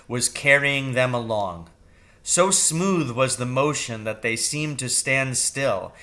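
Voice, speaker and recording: A man reading a children's novel aloud as expressive narration, with measured and deliberate phrasing. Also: medium pitch. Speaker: storybook reader.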